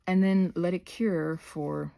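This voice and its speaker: A woman's voice: on the low side, fairly even intonation, takes it slowly, creaky, warm tone, a little hiss or hum. A woman hosting an informal craft tutorial, talking in a scattered, playful way and often interrupting herself with asides. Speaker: host of a craft tutorial channel.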